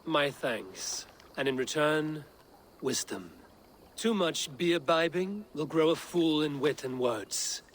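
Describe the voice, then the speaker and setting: Steady, wry Viking warrior. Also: male voice, a little high, slow, a little hiss or hum. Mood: wry, stern. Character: steady, wry Viking raider warrior, plain-spoken and strategic. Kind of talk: video game dramatic acting.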